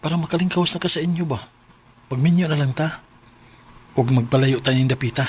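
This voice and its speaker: A man's voice: fairly high pitch, fast talker, heavy background noise, natural voice. A man with a Cebuano Filipino accent playing a devoted, earnest young husband in a radio drama, speaking reassuringly. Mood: urgent.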